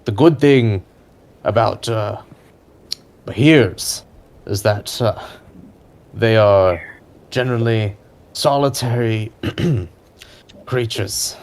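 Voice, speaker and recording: A man voicing a panicky bearded man in a tabletop roleplay, muttering and stammering in halting bursts. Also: on the low side, a little mellow. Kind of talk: tabletop roleplay in an audio drama podcast.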